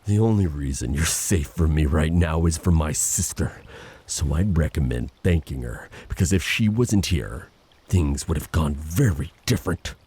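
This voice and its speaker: An actor playing a vengeful monster older brother in an audio drama, speaking with formal, bitter menace. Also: male voice, rumbling low, lively intonation, warm tone, breathy edge, full character voice. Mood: cold menace. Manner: menacing, formal, slow threats. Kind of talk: dramatic audio roleplay acting.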